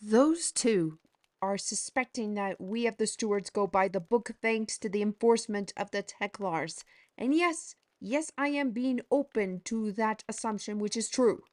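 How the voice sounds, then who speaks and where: A bold, teasing fantasy steward, played by a woman, talks in a playful, coaxing way. Her delivery is mid-pitched and leisurely, never rushed. She sounds like the lively lead of an audio drama. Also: natural voice. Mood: persuasive.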